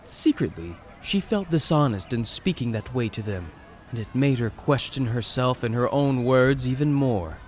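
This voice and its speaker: A man with a mid-pitched voice narrates a scripted fantasy audio drama. He reads as a dramatic storyteller, with clearly noisy ambience behind him. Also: expressive, normal speed, a little mellow.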